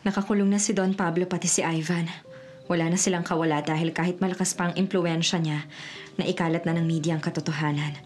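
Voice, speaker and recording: A woman's voice: fairly low pitch, fairly even intonation, fast talker, lightly acted. A Filipino actress playing a repentant woman con artist in a radio drama. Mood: earnest, relieved. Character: repentant female con artist starting over honestly.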